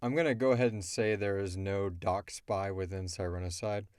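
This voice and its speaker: Man, podcast host, lower voice, casual, opinionated, slangy explanations, close dry recording. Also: fairly even intonation, normal speed, darkish tone, smooth, no room sound. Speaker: podcast host answering listener questions. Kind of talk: casual chat.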